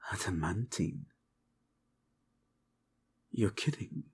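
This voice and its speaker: A man playing a humble, soft-spoken knight captain in a fantasy audio drama, hesitant and deferential. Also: low-pitched, lively intonation, drawn-out words, slight background noise, exaggerated voice. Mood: awed. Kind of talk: fantasy audio roleplay acting.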